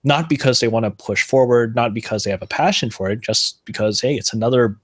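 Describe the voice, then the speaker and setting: A man with an ordinary mid-range voice co-hosting a casual podcast. He talks in a measured, thoughtful way and slips in a dry, wry remark now and then. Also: mid-range voice.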